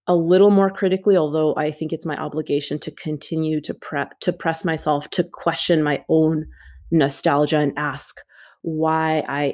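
A woman author being interviewed, speaking in a thoughtful, measured way with frequent pauses. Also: sits low, darkish tone. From United States. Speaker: author guest. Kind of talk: reflective interview talk.

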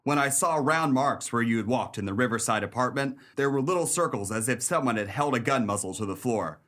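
An actor playing a tough, smart 1930s police detective in a pulp crime radio play, with clipped, slangy delivery. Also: male voice, mid-range voice, lively intonation, a little fast, fairly bright tone, lightly acted. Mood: cool, confident. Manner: clipped, slangy, hard-boiled.

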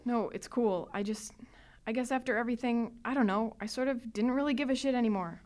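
A woman's voice: fairly high pitch, a little fast, a little mellow, natural voice. A young female actor playing a guarded, laconic teenage girl in a radio drama, terse and casual. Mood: resigned. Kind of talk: youth radio drama acting.

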